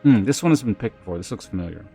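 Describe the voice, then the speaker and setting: A man hosting a livestream, chatting casually and reading aloud in a halting, measured way. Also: on the low side, animated, creaky, warm tone, breathy edge. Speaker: live streamer host. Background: music.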